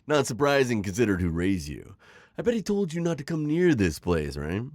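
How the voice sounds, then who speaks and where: A man's voice: medium pitch, very animated, normal speed, a little mellow, dry recording, lightly acted. A man playing a sly, teasing, middle-aged retired magical villain in an ASMR roleplay, theatrical and coaxing in his delivery. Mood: sly, amused. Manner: theatrical, teasing, manipulative coaxing with sighs and chuckles. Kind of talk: scripted ASMR roleplay acting.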